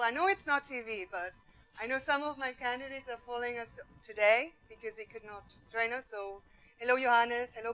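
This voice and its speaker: Bright-voiced woman moderating. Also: on the high side, rough recording. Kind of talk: informal hosting before a live audience.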